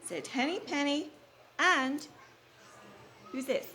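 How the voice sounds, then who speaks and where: A woman with a fairly high, very animated voice reads to young children as their teacher. She takes her time, repeats deliberately and prompts with questions. There is faint noise behind her. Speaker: kindergarten teacher. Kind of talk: interactive storybook read-aloud to young children. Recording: faint background room noise.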